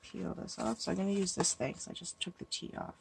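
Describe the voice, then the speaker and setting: A woman's voice: medium pitch, brisk, creaky, background noise. A woman with a stuffy cold, thinking aloud as she walks through making a handmade card. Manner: thinking aloud, musing and hesitant. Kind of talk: casual crafting tutorial talk.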